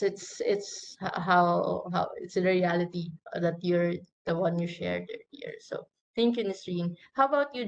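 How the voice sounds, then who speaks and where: Female panel host, low monotone, halting, muffled lo-fi sound. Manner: halting, repeats words. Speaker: panel moderator. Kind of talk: moderating a panel.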